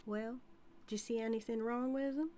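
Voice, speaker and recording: A woman's voice: a little high, steady tone, normal speed, warm tone, lightly acted. A woman playing a flirty, dominant outlaw cowgirl with a Southern US accent in a scripted audio roleplay, drawling and teasing. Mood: teasing, sly. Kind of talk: scripted audio roleplay, intimate character acting.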